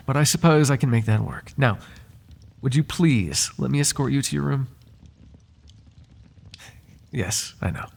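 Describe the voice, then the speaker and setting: A man's voice: medium pitch, creaky, darkish tone. A man playing a devoted, lovesick butler in an intimate ASMR roleplay, flirting with his master in formal, courtly, teasing and tender words. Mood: teasing, flirtatious.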